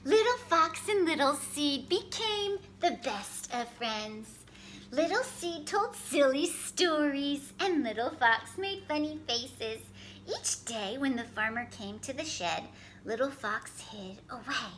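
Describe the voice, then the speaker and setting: A woman storyteller reading a children's picture book aloud, expressive and careful in her phrasing. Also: sits high, takes it slowly, slight background noise. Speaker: storyteller, host.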